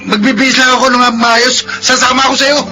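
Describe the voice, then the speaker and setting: A man's voice: extremely high pitch, flat delivery, fairly quick, over loud music. A man playing a startled, eager young adult younger brother in radio drama dialogue. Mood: surprised, eager.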